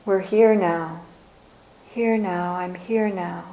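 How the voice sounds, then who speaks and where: A woman leading a guided meditation as a teacher, speaking gently and soothingly in short phrases with long pauses. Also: medium pitch, fairly even intonation, slight background noise, poor audio.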